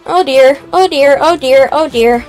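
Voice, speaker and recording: Casting: female let's play gamer, informal and exclamatory, high voice, faint music behind. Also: a little slow, darkish tone. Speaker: gamer reacting to a game. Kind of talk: casual let's play commentary.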